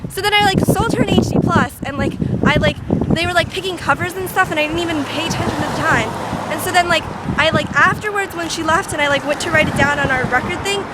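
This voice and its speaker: A woman's voice: extremely high pitch, quick pace. A teenage girl rambling casually into her phone camera at a bus stop, full of "like" and self-deprecating asides. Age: teens. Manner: chatty, lots of "like", self-deprecating. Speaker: vlogger. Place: outdoors by a bus stop on a street. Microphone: phone camera held at arm's length. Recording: loud traffic noise. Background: passing cars and trucks.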